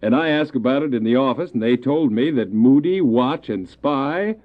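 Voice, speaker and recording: Curious male traveller, played by a man, audio drama. Polite, halting, expressive pitch, thin vintage cassette sound. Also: narrow, tinny audio, close to an everyday voice. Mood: curious, hesitant. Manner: hesitant, halting, inquisitive. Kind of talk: radio drama acting. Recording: thin, band-limited old cassette drama.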